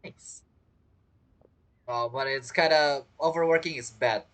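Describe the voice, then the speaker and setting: A woman's voice: rumbling low, unhurried, background noise. A woman streamer giving casual reaction commentary on a game, chatty, laughing often and speaking broken English.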